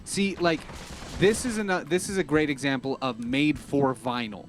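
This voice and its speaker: A man's voice: mid-range voice, lively intonation, normal speed. An American man co-hosting a livestream, giving his opinions in informal, casual chat. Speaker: livestream co-host. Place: small cluttered room indoors. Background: music.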